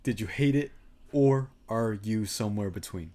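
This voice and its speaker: A man's voice: on the low side, moderate pace, warm tone. A man co-hosting a film review podcast, chatting casually in an opinionated, exaggerated way, with some swearing. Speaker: podcast co-host.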